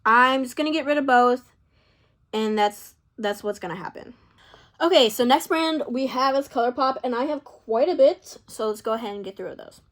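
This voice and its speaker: A young woman vlogger talking casually to camera, rambling and indecisive, her voice a little hoarse from talking for hours. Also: fairly high pitch, moderate pace, fairly bright tone. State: losing her voice. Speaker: beauty vlogger.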